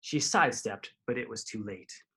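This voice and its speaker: A man's voice: on the low side, normal speed, bright, forceful tone, a little hiss or hum. Man reading picture books aloud to kids. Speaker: karate instructor reading children's books aloud. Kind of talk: live storytime read-aloud to children.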